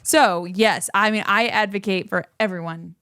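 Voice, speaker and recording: A woman's voice: medium pitch, fairly even intonation, normal speed, fairly bright tone, clean tone, no echo, studio quality. A woman in her thirties, a podcast guest, chatting casually and laughing often. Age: 30s. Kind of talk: casual chat.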